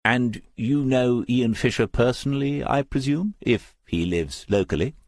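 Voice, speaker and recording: A man playing a retired male detective in an audiobook, polite, mild and quietly inquisitive. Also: medium pitch, measured pace, no room sound, studio quality, voice pushed up, lightly acted. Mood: politely curious. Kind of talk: audiobook character dialogue.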